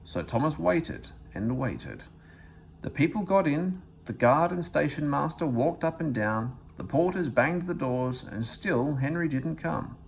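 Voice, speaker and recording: A man reading a children's storybook aloud as an expressive narrator. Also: a little high, a little slow, creaky, a little hiss or hum. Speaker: story reader.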